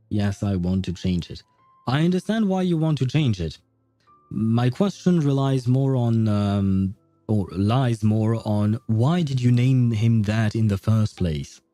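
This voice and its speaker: Male game streamer, soft mellow tone, dry close sound with music underneath, loose rambling chat. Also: mid-range voice, no echo. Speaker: livestream host.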